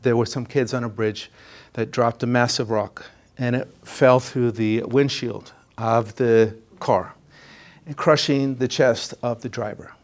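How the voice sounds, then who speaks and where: A man's voice: medium pitch, fairly even intonation, unhurried. A middle-aged man from Ecuador whose first language is Spanish, a college counselor telling his own story in a presentation, earnest, with many fillers and restarts.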